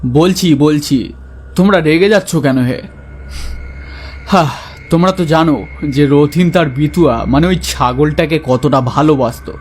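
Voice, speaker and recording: A man's voice: fairly high pitch, a little slow, pauses often, darkish tone, slight background noise, lightly acted. A man playing a chatty, eager village gossip in a cartoon, speaking colloquially. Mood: confiding. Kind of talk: cartoon voice acting.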